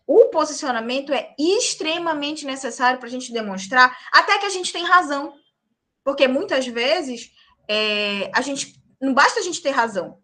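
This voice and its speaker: Bright, high-voiced young woman lecturing. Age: young adult. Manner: emphatic, tags points with check-in questions. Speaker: law lecturer and practicing lawyer. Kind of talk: lecture to a class.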